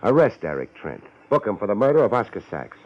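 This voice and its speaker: A cocky, wisecracking hard-boiled detective in a vintage radio play. His voice sits low and moves in lively swings, with sardonic slang. The old recording is dull and muffled. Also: quick pace. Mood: assured, decisive. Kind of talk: 1950s radio detective drama acting.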